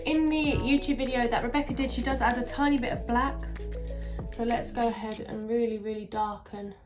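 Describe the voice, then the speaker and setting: Brief: woman vlogger, bunged-up nasal voice, a little high, chatty with music beneath. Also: steady tone, a little fast. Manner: chatty, many fillers. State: stuffy nose from a cold. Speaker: beauty vlogger. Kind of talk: casual makeup tutorial.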